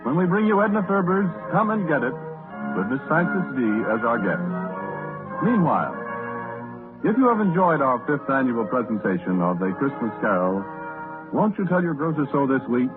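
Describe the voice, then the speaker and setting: A man's voice: medium pitch, sing-song, normal speed. An American man working as a polished, formal radio announcer, reading a 1930s sponsor announcement. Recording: narrow-band 1930s radio broadcast. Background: loud clear music.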